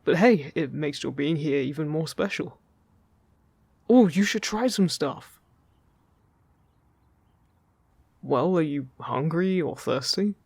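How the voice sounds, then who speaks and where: A man acts out a playful male fairy in a fantasy audio roleplay, speaking close and dry. His voice is lightly husky with some creak, and he talks in a casual, teasing, reassuring way. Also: fairly high pitch, darkish tone, dry recording, full character voice. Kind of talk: scripted audio roleplay addressed to the listener.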